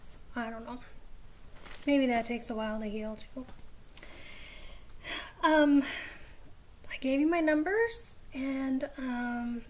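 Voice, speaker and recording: A woman vlogging a casual update, chatty and rambling with frequent ums, her voice raspy at times. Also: high voice, slow pace, pauses often, darkish tone, a little hiss or hum.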